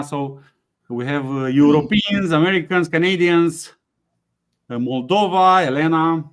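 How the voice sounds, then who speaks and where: A man hosting a webinar, speaking haltingly with frequent fillers and restarts. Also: a little high, a bit bright. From Romania. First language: Romanian. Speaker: webinar host, company CEO. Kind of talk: hesitant webinar presentation with many fillers.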